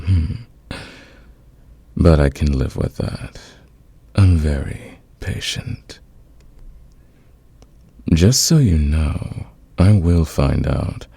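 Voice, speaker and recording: Man acting a very deep, seductive gravelly villain. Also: dark tone, no room sound, exaggerated voice. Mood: teasing, reassuring. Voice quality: gravelly, husky. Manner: intimate, teasing, unhurried, confident. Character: smitten male fantasy villain, patient, dominant, smoothly seductive. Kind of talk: romantic ASMR audio roleplay.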